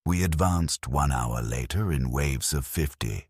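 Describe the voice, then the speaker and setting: A man giving a solemn, measured, scripted first-person narration of a dark dramatic story. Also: very deep voice, slightly rough, no echo, studio quality.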